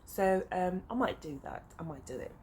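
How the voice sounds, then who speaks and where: A woman's voice: on the low side, lively intonation, moderate pace, darkish tone. A woman in her thirties, French her first language, speaking English with a French accent, chatting casually and rambling with lots of "um"s and side notes as a sewing vlogger. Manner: rambling, easily sidetracked, many fillers. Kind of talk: casual chat to camera. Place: flat beside a busy road. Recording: faint traffic noise behind.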